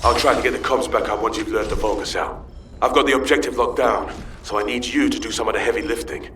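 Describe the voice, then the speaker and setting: An actor playing a frustrated, capable field operative in a sci-fi shooter game, with a terse, gritty manner. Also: male voice, mid-range voice, steady tone, fast, natural voice. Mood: weary, businesslike. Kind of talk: video game voice acting. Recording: roomy, distant, noisy game audio.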